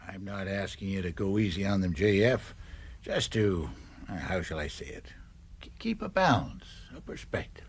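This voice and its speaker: An anxious political insider in a radio drama. His low, husky voice hesitates and coaxes, pleading his case with care. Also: expressive, moderate pace, full character voice. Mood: hesitant, careful. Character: anxious American party fixer, cajoling adult man.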